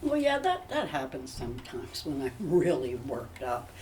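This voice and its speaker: A woman's voice: a bass voice, big pitch swings, moderate pace, darkish tone, distant mic, slight background noise. A woman playing a theatrical, indignant actress typecast as witches and stepmothers, gushing dramatically in a comedy mock interview. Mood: gushing, worked up. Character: theatrical, aggrieved actress who plays witches and evil stepmothers.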